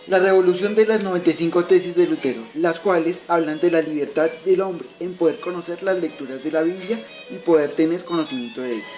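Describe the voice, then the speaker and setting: A man's voice: fairly high pitch, fairly quick, hardly any pauses, darkish tone, faint music behind, natural voice. A man playing a fatherly medieval teacher, explaining in a scripted way in an amateur educational radio play. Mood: instructive.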